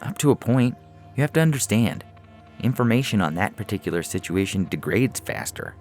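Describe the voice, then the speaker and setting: A man's voice: on the low side, expressive, a little fast, a little mellow, pitched above the performer's own voice, natural voice. A man voicing a weary, empathetic alien data technician who explains defensively in a science fiction story, over music. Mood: defensive, harried. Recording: music under the voice.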